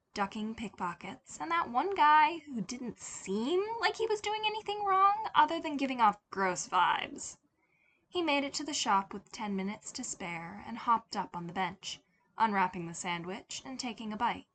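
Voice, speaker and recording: A woman's voice: mid-range voice, fairly bright tone, slight background noise. A woman reading a scripted story expressively as an audiobook narrator. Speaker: podfic narrator.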